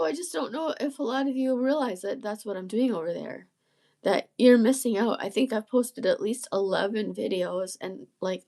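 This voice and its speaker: A woman with a gravelly, husky, croaky voice, strained after illness, chatting casually on a live stream and often clearing her throat. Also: medium pitch, darkish tone. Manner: rambling, self-interrupting, frequent throat clearing. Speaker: musician and channel host.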